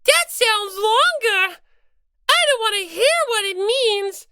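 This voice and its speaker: An audiobook reader voicing a small, earnest, tearful female rabbit mascot, squeaky and childlike, with plaintive, indignant delivery. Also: expressive, slow pace, very noisy, heavily acted. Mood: panicked, pleading. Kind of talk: character voice in fan audiobook.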